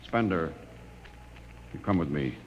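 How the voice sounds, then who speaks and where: A man's voice: rumbling low, animated, slow, dull audio, heavily acted. A man playing a firm, reasonable spaceship captain in an old radio drama, with an authoritative manner. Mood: measured. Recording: reverberant, faintly noisy old radio recording.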